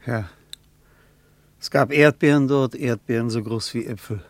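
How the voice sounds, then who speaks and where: An actor playing a reflective German traveller who once served as an officer, speaking hesitantly. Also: male voice, mid-range voice, measured pace, no echo, lightly acted. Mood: wistful. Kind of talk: 1950s radio play acting.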